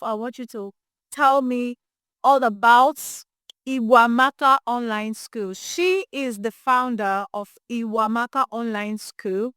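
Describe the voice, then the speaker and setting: A Nigerian woman presenting as a podcast host, introducing an interview in an expressive, emphatic way. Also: a little high, smooth, no echo, clean studio sound. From Imo State, Nigeria.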